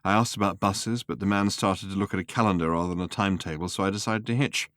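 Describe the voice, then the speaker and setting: A man voicing a young Englishwoman in an audiobook, brisk, self-possessed and politely guarded, with an English accent. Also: deep voice, steady tone, fairly bright tone, no echo, studio quality. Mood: inquiring.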